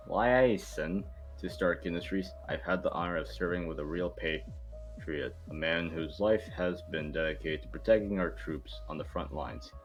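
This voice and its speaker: A man's voice: sits low, dull audio, natural voice. A man reading the part of an earnest military officer and exasperated loyal friend in an amateur table read.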